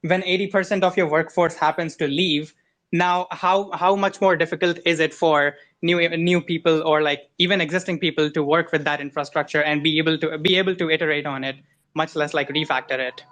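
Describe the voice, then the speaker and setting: A man putting technical questions casually, in a halting way. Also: on the high side, steady tone, a bit bright.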